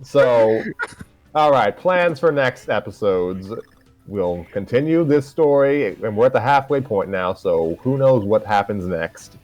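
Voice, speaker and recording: Low-pitched, dry male host over music. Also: lively intonation, unhurried, darkish tone. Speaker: host of a fan fiction reading show. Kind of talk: casual hosting chat. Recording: music playing behind the voice.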